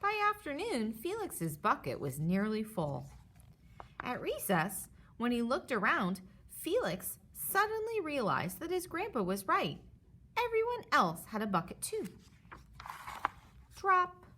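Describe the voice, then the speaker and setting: A woman reading a children's picture book aloud in an expressive, dramatized way. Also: on the high side, a little slow, a little hiss or hum. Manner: animated, dramatizes sound effects and dialogue. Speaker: storybook reader.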